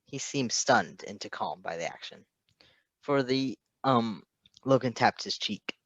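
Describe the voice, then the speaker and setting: A man's voice: medium pitch, animated, unhurried, a bit bright, no room sound, highs cut off. Man narrating fiction, close dry sound. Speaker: podfic reader.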